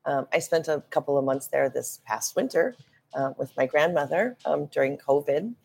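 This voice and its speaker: A woman's voice: deep voice, lively intonation, normal speed, creaky, darkish tone, husky. A woman from Minnesota in her forties, a podcast guest who speaks frankly and openly and often laughs lightly between remarks. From Minnesota, USA. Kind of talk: casual interview conversation.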